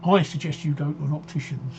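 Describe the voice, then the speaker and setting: A man in his sixties talks through a home live stream in a loose, rambling way, repeating phrases as he goes. His voice sits a touch high, and the audio is dull, with a clear electrical hum behind him. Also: fairly even intonation, fast. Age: 60s. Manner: chatty, rambling, self-repeating. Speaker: livestream host. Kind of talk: casual live-stream chat reading viewer comments. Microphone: desk microphone.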